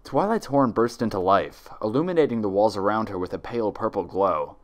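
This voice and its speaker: A man's voice: on the low side, lively intonation, brisk. A man reading scripted fiction aloud as a dramatic story narrator.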